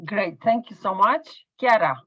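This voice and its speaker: A woman moderating an online panel over a video call. She talks in a friendly, halting way, with fillers. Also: medium pitch, expressive, fairly bright tone, no echo. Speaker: meeting moderator. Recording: muffled video call audio.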